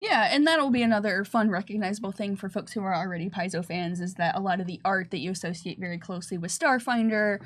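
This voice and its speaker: A woman's voice: medium pitch, fast, hardly any pauses. Woman in casual panel chat. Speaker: game developer presenting on a livestream.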